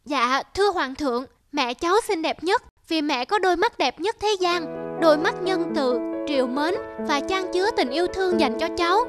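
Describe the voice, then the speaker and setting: A woman's voice: very high voice, lively intonation, normal speed, faint music behind. A woman voicing a polite, devoted young boy in a children's fairy-tale cartoon. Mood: earnest, tender.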